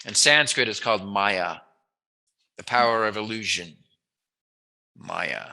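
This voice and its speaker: Playful male interviewer with a very bright voice. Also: fairly low pitch, measured pace. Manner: playful, jokey, musing. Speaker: interviewer, host. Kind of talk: casual joking chat interview.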